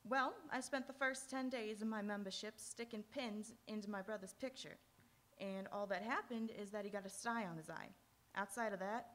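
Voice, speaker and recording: A woman's voice: mid-range voice, fairly bright tone, close to an everyday voice. A woman playing a brazen, bitter young noir suspect in a live radio drama, frank and coolly callous. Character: cool, bitter, brazen female murder-victim's sister.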